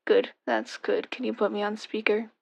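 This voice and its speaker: A woman voicing a calm, soothing teenage boy who reassures gently in an audiobook story. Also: mid-range voice, normal speed, creaky, highs cut off.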